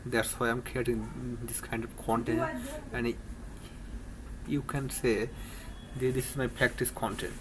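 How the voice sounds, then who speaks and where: Earnest Bangladeshi man, slow flat delivery. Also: medium pitch, a crowd in the background, rough recording. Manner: earnest, halting, with frequent restarts and broken grammar. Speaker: English-speaking coach. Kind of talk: informal English lesson to camera.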